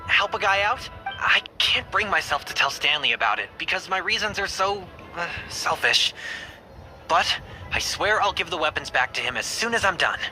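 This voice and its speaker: A man's voice: sits high, big pitch swings, moderate pace, sharp tone, full character voice, low-quality recording. A man voicing an eager young male rookie adventurer in a video game, earnest and slightly sheepish. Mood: hopeful, pleading. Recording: music under the voice.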